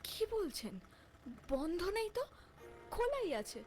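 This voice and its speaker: Woman acting a high-voiced, mysterious young passenger. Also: sing-song, measured pace, darkish tone, soft background music, full character voice. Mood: calm, insistent. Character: mysterious, insistent young woman hailing a night ride.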